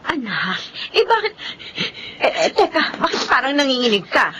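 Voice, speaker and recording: A woman's voice: high-pitched, normal speed, noisy background. A woman playing an anxious, fussing young mother and wife in a radio drama. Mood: worried. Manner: expressive, fussing.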